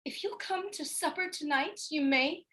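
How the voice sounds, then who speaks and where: A woman playing a jealous, sharp-tongued spurned lover in a Shakespearean radio drama, with a scolding manner. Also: sits high, moderate pace.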